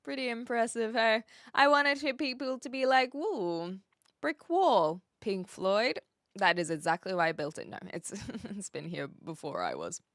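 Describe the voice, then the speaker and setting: A woman's voice: on the high side, lively intonation, moderate pace, a bit bright, no room sound, studio quality. A woman with a New Zealand accent, chatting casually on a livestream in a playful, rambling, teasing way as she answers viewers. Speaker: live streamer.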